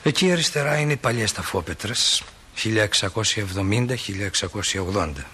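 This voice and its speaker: A folksy small-town stage narrator with a low, crisp-edged voice. He muses to the audience with gentle, reflective wryness. A faint layer of noise sits behind him. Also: expressive. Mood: gently wry. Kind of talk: theatrical narration addressed to the audience.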